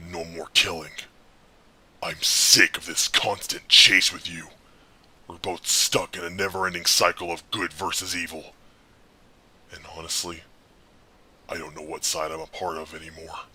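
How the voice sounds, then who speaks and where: Grim masked vigilante for audio drama, husky and tense as hell, pretty flat, worn out and desperate. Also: male voice, heavily acted, low-quality recording. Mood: pleading, resolute. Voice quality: gravelly, husky growl. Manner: intense, deliberate, low and pleading. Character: grim, desperate, world-weary adult male masked vigilante hero. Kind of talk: fan audio drama acting.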